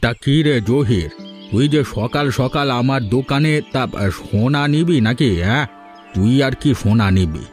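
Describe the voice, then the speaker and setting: A man's voice: mid-range voice, lively intonation, moderate pace, darkish tone, soft background music, lightly acted. Man acting a taunting village gold dealer. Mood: mocking. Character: mocking village gold-shop keeper. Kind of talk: Bengali cartoon voice acting.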